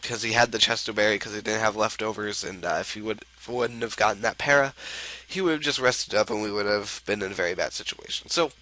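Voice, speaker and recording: Young male gaming host, sick and stuffy-nosed, rambling casual commentary, lowish voice with a bright edge. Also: fast, rough recording. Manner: rambling, filler-heavy, laid-back.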